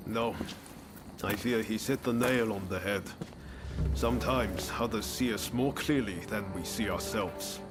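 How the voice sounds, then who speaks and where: A man playing a thoughtful, earnest young reformer in a samurai video game, speaking in a measured, reflective way. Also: lowish voice, over music, close to an everyday voice. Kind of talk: video game cutscene acting.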